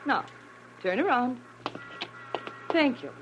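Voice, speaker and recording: A woman playing a cool, commanding femme fatale in a classic radio drama, giving crisp orders with mocking politeness. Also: on the high side, very animated, slow pace, dull audio, very noisy.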